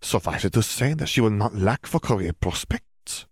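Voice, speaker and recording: A man's voice: on the low side, animated, normal speed, breathy edge, no room sound, clean studio sound. A man voicing a proud, insistent witch official, a formal and emphatic woman, in a story reading. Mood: proud, persuasive.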